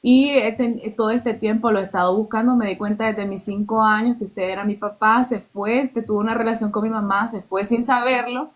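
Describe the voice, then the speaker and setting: A woman's voice: fairly high pitch, fairly even intonation, a little fast, few pauses. A Nicaraguan woman in her thirties, telling a personal testimony in an earnest way and acting out the dialogue she quotes. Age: 30s. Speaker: guest.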